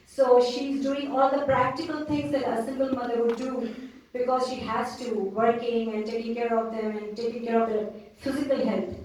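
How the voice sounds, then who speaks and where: A woman's voice: fairly high pitch, steady tone, normal speed, a little mellow, far from the mic, slight background noise. A woman answering at a film festival Q&A, speaking earnestly and reflectively about her role. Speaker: actress on a panel. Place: cinema auditorium. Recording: roomy hall, mic from afar.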